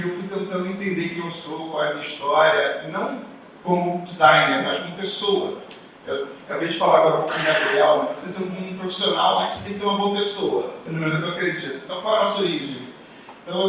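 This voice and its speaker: A man's voice: fairly high pitch, a bit bright. A man from Rio de Janeiro with a Carioca accent gives an informal, reflective conference talk, telling his story with conversational asides to the audience. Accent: Carioca Brazilian Portuguese. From Rio de Janeiro, Brazil. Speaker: conference speaker, designer. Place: large echoey indoor event room. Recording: very echoey hall, distant mic, faint noise.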